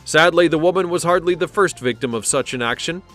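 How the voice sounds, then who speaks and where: A man's voice: fairly high pitch, a little fast, a bit bright, soft background music. A man reading a scripted countdown narration in a dramatic storytelling way, with wry conversational asides.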